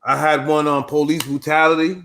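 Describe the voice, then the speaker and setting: A man's voice: on the high side, flat delivery, fairly quick, a bit bright, a little hiss or hum. Laid-back man hosting a casual panel talk. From United States. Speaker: show host.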